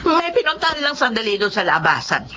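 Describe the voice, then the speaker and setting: Filipino lola in a radio drama, doting but bossy, high lively voice, dull audio. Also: female voice, a little fast, noisy background. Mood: delighted, doting. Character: doting, assertive Filipino grandmother.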